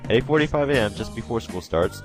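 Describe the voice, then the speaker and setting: A man's voice: medium pitch, lively intonation, quick pace, music in the background. A man in his twenties from Oregon, hosting a casual group podcast, joking and reading listener posts aloud. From Oregon, USA. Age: 20s. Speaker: podcast host.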